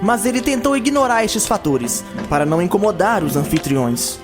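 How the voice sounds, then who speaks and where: A man reads as the narrator of a fantasy audio drama. His voice is pitched high for a man. He tells the tale from a script, with music clearly underneath. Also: lively intonation, moderate pace.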